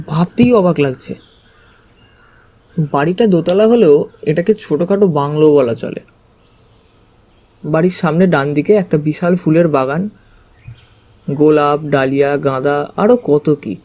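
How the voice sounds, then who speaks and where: A man reading a first-person scripted story as its narrator, with expressive storytelling delivery. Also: a little high, a little slow, pauses often. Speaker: narrator and detective's companion.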